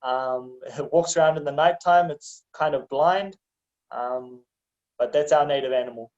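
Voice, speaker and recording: A young man from New Zealand with a New Zealand accent, chatting casually as an interview guest, relaxed and quick to laugh, with plenty of ums. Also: mid-range voice. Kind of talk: casual conversation. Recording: dull lo-fi audio.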